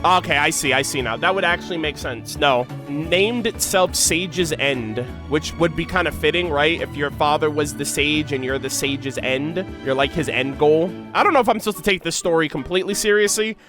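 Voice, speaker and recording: American male streamer, higher register, informal think-aloud commentary over clear background music. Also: moderate pace, few pauses. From United States. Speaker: game reaction streamer. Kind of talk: casual commentary, reading lore aloud.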